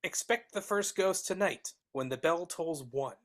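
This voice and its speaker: A woman's voice: fairly low pitch, lively intonation, measured pace. A woman playing the gloating ghost of a greedy dead moneylender in a live dramatic reading, ominous and theatrical.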